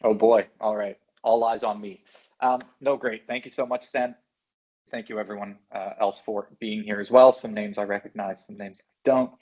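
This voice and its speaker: A man's voice: lowish voice, fairly even intonation, moderate pace, a little mellow. A man from Belgium with an American-sounding accent, giving an upbeat, chatty product presentation. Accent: American-sounding English. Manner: upbeat, chatty, self-deprecating. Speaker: channel business manager presenting a product. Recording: dull lo-fi sound.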